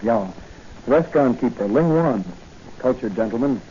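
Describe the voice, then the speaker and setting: A male actor as an earnest rookie patrolman from a 1940 radio serial. His voice is mid-pitched and relaxed. The old recording is muffled, with some noise. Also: moderate pace, natural voice. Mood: earnest, concerned. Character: earnest young rookie patrolman, secretly a crime-fighter. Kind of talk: 1940s radio acting.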